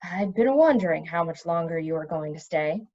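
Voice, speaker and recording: A woman's voice: on the low side, animated, normal speed, dark tone, highs cut off, pitched below the performer's own voice. A woman voicing a wry, unruffled female dragon with a dry, knowing manner in a storybook reading. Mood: wry approval.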